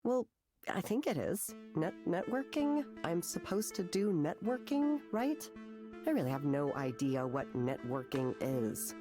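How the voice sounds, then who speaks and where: A nervous young American woman speaks in a fairly low voice over prominent music. She is a struggling artist in a visual novel, rambling and hesitant, always putting herself down. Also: sing-song, measured pace. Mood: anxious, self-mocking. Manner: hesitant, rambling, self-mocking, anxious. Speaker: counseling client. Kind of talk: visual novel voice acting, conversational confession.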